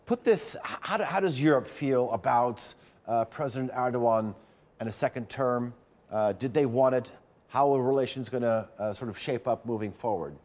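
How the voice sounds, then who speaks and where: A man anchoring live election coverage, moving briskly between correspondent and panel in a conversational way. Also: medium pitch, a little mellow. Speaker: news anchor. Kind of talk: live news presenting.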